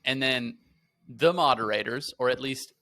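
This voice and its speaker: A man co-hosting a casual podcast, chatty and full of fillers, often slipping into quick imitations of people. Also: medium pitch, sharp tone. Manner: chatty, lots of fillers, laughs and mimics people. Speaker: podcast co-host.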